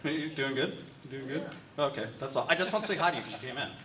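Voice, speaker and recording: A man's voice: a little high, lively intonation, rapid-fire. A man chatting casually on a convention panel, blunt and swearing, laughing often. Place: convention panel room before an audience. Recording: distant mic with crowd noise.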